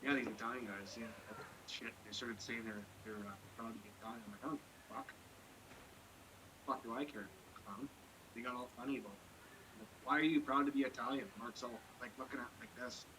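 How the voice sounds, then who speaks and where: A man's voice: mid-range voice, steady tone, brisk, noisy background. A man on a casual podcast talking bluntly and profanely in long rambling stretches. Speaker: podcast co-host. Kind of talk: casual chat.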